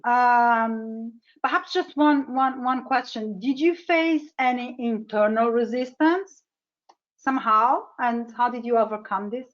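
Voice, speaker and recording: Halting woman questioner on a call. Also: fairly high pitch. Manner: searching for words, many fillers. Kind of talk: webinar Q&A. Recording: dull video call audio.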